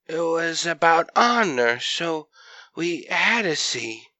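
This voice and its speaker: A performer voicing a young furry creature boy in an audio drama, earnest and curious, with halting, rustic speech. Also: medium pitch, big pitch swings, sharp tone, no room sound, pitched above the performer's own voice, exaggerated voice. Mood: troubled, halting. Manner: halting, rustic grammar, questioning.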